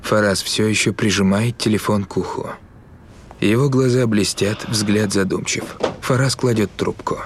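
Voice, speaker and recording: A man reading scripted narration as a voice-over in an even, matter-of-fact way, with crowd noise underneath. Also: fairly low pitch, moderate pace.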